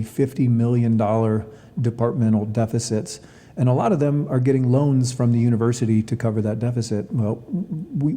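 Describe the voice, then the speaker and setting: A man giving earnest, measured interview answers as an athletics director. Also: medium pitch, mellow. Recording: long reverberant hall echo.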